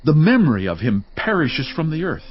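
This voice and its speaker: An actor playing a stern biblical accuser who pronounces doom on the wicked in a grave, declamatory, ominous way, for a dramatized audio Bible. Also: male voice, fairly high pitch, sing-song, a little fast. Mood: grim, condemning. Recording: slightly dull sound under clear background music. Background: music.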